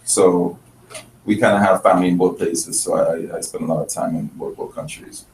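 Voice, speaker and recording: A man's voice: low-pitched, steady tone, normal speed, vocal fry, a little mellow. A man from Grenada and Trinidad, a chef and restaurant owner, talking earnestly in an interview with many restarts. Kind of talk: casual interview talk. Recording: roomy, distant mic, faint noise.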